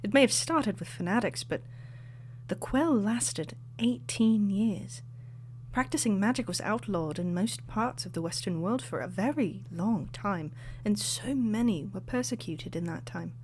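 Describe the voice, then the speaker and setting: A woman's voice: mid-range voice, lively intonation, darkish tone, a little hiss or hum, lightly acted. A woman playing a lecturing artifact historian. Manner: measured, lecturing. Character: female artifact historian and author, fond teacher. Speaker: expert guest. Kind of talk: audio drama acting.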